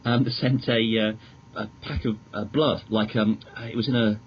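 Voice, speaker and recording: A man's voice: on the low side, steady tone, normal speed. A British man chatting casually as an interview guest, talking in a hesitant, rambling but enthusiastic way. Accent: British English. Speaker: magazine editor, podcast guest. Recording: dull lo-fi audio with clear noise.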